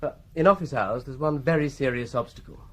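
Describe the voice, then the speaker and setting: An actor playing a wry, faintly supercilious British solicitor in a radio drama, speaking with measured, arch irony. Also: male voice, mid-range voice, close to an everyday voice. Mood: wry, explanatory. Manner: arch, drily ironic, measured.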